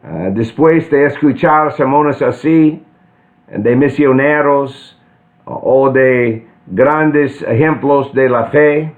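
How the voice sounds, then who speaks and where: An older man from central Kansas with an American accent, preaching an earnest sermon and pausing to restart phrases. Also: medium pitch. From central Kansas, United States. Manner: deliberate, earnest, with frequent restarts. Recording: tinny phone-like sound.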